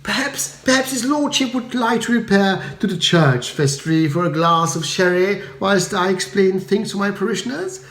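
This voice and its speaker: A man's voice: high voice, expressive, normal speed, hardly any pauses, fairly bright tone, echoey room, voice pushed up. A man voicing a mild, tactful English village vicar, hesitant and politely placating.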